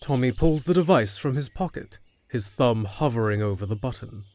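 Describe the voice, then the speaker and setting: A man's voice: mid-range voice, animated, normal speed, warm tone. A man reading scripted narration for a fantasy audio drama.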